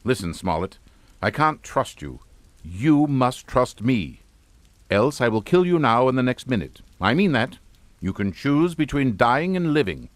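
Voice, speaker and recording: A middle-aged father rules a suspense radio drama with cold authority. He is clipped and matter-of-fact even when threatening, with a mid-pitched voice that rises and falls widely, recorded very dry. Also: measured pace, no echo. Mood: cold menace. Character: coldly commanding English father, calm, menacing, middle-aged patriarch.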